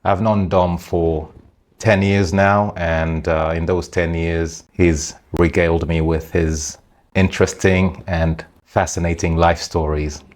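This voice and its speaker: A man hosting a podcast, reading a calm introduction and asking his guest questions. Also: deep voice, takes it slowly. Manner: calm, short backchannel responses. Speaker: podcast host. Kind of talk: scripted podcast intro and interviewing.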